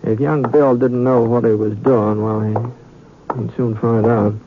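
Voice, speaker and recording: An easygoing American gunslinger of the old west played by a male actor talks in a folksy, halting way. His voice has a creaky edge. It comes through a dulled 1950s radio recording with music. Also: mid-range voice, little pitch movement, phone-quality sound, lightly acted. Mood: wry, reflective. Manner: hesitant, folksy, repeats himself. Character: easygoing western drifter gunslinger telling his tale. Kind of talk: 1950s radio western acting and narration.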